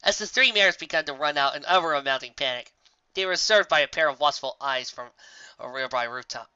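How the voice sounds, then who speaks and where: Female story narrator, lowish and very animated, expressive scripted read, slightly dull dry recording. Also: a little fast, slight background noise.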